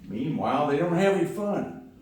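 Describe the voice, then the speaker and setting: A man playing an easygoing, curious old grandfather in a live radio play, folksy and unhurried. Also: a little high, big pitch swings, a little mellow. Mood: genial. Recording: very echoey hall, distant microphone, noisy.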